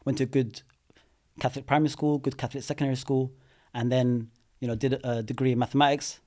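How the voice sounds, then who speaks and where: A man's voice: medium pitch, fast talker. Thirty-something man telling his story. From London. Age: 30s. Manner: relaxed storytelling, many fillers like "you know". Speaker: guest. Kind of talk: casual interview chat. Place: studio.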